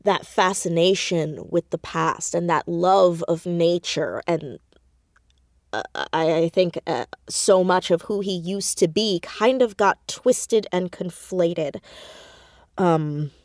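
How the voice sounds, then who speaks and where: A woman's voice: lowish voice, slow, no echo, clean studio sound. A woman chatting casually and expressively as an interview guest, a creative who talks about her own work with self-mocking asides. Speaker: audio drama creator and voice actor, interview guest. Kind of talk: casual, animated interview chat.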